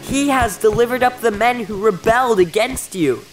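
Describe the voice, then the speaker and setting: A man's voice: sits high, moderate pace, natural voice, low-quality recording. A man playing an eager, deferential messenger to a king in a dramatized audio Bible story, speaking formally over music. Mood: joyful. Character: eager, gleeful male messenger, loyal and deferential.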